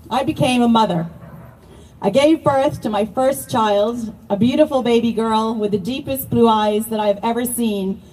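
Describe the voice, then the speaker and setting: High-voiced woman giving a rally speech. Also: a little mellow, recorded from a distance, rough recording. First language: not born in Ireland, long resident. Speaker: rally speaker. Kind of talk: prepared speech at outdoor rally.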